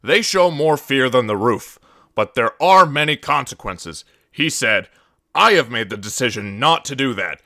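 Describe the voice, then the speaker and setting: Brief: male reader for scripted game monologues, forceful bright tone with a gravelly edge, mid pitch, dry recording. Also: animated, a little slow, no echo.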